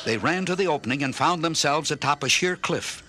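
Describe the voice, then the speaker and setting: A man's voice: on the high side, lively intonation, a little fast, pushed and bright, a little hiss or hum. A man narrating a children's story in a scripted, dramatic style.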